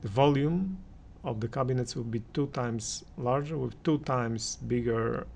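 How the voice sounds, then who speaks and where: A man with a gravelly, creaky voice talks through his opinions on audio gear. He speaks slowly and haltingly, pausing often as he works out each point. His pitch sits in a middle range for a man. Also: darkish tone, a little hiss or hum. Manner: hesitant, halting delivery with many pauses. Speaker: audio reviewer and channel host. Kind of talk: unscripted opinion commentary on hi-fi gear.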